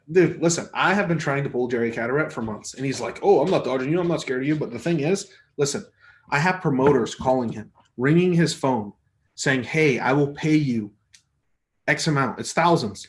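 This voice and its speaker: A man with a soft, mid-pitched voice chats casually with his stream viewers. He rambles in an easygoing way, full of little fillers. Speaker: arm wrestler hosting a live chat stream. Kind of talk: casual live-stream chat reading comments.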